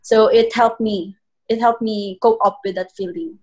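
Woman, twenties, thoughtful, halting, slightly high voice. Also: animated, darkish tone, poor audio. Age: 20s. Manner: hesitant, many "um" pauses. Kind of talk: casual chat.

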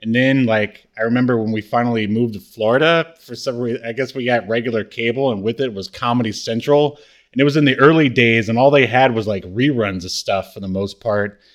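Guy co-hosting a podcast, middling pitch, casual and jokey, kinda mock-grand. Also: fairly quick. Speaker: podcast co-host.

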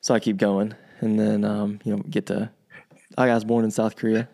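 A man who grew up in Virginia, co-hosting a podcast in relaxed conversation, thoughtful and full of restarts and asides. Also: lowish voice, brisk. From United States, grew up in Virginia. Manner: thoughtful, many restarts and asides, animated when quoting. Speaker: podcast co-host. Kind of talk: casual conversational chat.

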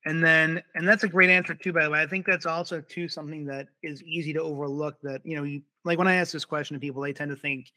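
A man's voice: on the high side, fairly even intonation, quick pace, few pauses, sharp tone, no room sound. A man hosting a podcast interview, chatting casually and affirming his guest with upbeat remarks. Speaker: podcast host.

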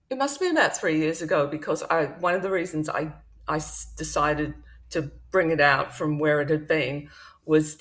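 Low-voiced Welsh-Australian woman. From Wales. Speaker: novelist guest. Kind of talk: remote interview.

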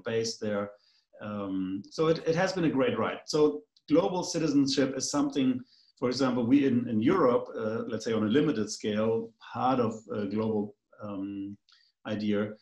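A man's voice: on the low side, darkish tone. Man, German accent, guest, rambling, many fillers, roomy echo. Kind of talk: casual conversation.

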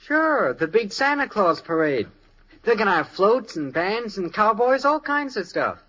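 Eager american teen boy, old radio sitcom, slangy, high bright voice. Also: sing-song, unhurried, full character voice, low-quality recording. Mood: persuading. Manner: enthusiastic, slangy. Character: eager, brash, carefree American teenage son. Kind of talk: 1950s radio sitcom acting.

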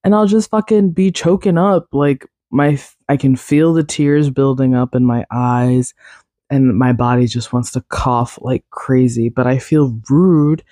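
A young woman hosting a casual solo podcast, rambling and self-deprecating, her voice raspy and congested from a cold. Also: a bass voice, a little slow, dark tone, no echo, studio quality. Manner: rambling, self-deprecating, many fillers and laughs. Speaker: podcast host.

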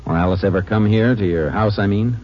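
An actor playing a shrewd, matter-of-fact Texas Ranger investigator in a 1950s radio drama. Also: male voice, lowish voice, brisk, lightly acted. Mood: calm, probing. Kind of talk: 1950s radio drama dialogue and first-person narration. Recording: muffled, hissy 1950s radio recording.

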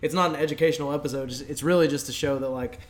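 A man's voice: on the high side, fairly even intonation, very fast, slight background noise. A man co-hosting a podcast, talking casually and informally in an agreeable conversational way. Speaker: podcast co-host.

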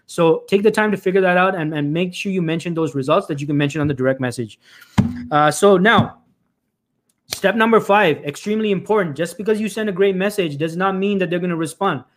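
Guy coaching job seekers on a live stream, high-ish voice, talks fast, keeps repeating points and saying folks. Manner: emphatic, repeats phrases, often says "folks" and "right?"; frequent restarts and filler "uh".

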